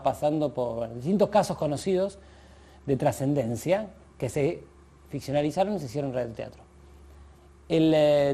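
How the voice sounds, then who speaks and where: A man with an Argentine Spanish accent talking analytically and informally in an interview, with hesitant pauses and quieter asides. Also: fairly high pitch. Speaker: radio drama commentator.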